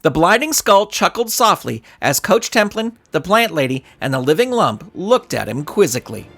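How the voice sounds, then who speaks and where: Animated male storybook narrator. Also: sits high, moderate pace, creaky. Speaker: narrator and author.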